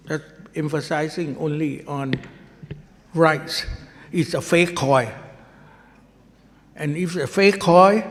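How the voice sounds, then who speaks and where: A man with a Thai accent moderating a conference, speaking slowly and deliberately with halting repeats. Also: on the high side, in a large hall. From Thailand. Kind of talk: formal conference remarks. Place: large conference hall.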